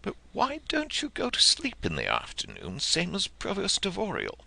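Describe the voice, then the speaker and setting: An earnest little boy from a storybook, acted out by a man reading aloud. The voice is lifted very high above the reader's own, bright and pleading, with a blunt, protesting manner. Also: huge pitch swings, moderate pace, gravelly, exaggerated voice.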